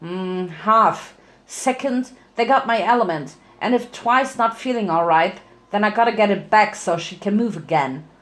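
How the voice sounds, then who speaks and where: A woman's voice: medium pitch, expressive, a little slow, a bit bright. A woman voicing a plain-spoken, practical young female farm pony with folksy phrasing. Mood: matter-of-fact.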